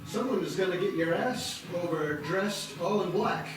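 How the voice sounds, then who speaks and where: Blunt, slangy male trucker acted by a man, high-pitched, heard across a very echoey room with music. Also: normal speed, close to an everyday voice. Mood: annoyed, incredulous. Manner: slangy, confrontational. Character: brash, blunt male truck driver, horror screenplay. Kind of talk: amateur table-read acting. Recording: very echoey, distant, music behind.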